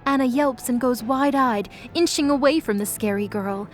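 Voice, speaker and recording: A woman's voice: sits high, normal speed. A woman narrator reading a scripted dramatic story. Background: music bed.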